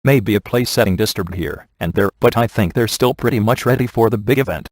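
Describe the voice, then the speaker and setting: Actor as a wisecracking deadpan ghost hunter, dry recording. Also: male voice, fairly low pitch, fairly quick, no echo, lightly acted. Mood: dry, smug. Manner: deadpan, sarcastic. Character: wisecracking, smug male ghost hunter in a comic adventure. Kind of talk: video game voice acting.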